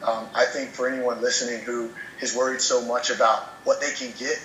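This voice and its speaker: A man's voice: medium pitch, level tone, brisk, bright, forceful tone, echoey room, slight background noise, poor audio. A man speaking as a podcast guest in casual chat, earnest and motivational, often restarting words as he goes.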